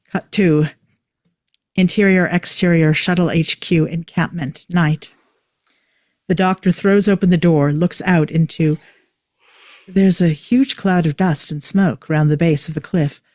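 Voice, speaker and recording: A man's voice: fairly high pitch, fairly even intonation, unhurried, long pauses, a little mellow, dry recording, studio quality. A man reading out scripted stage directions as narrator at a table read.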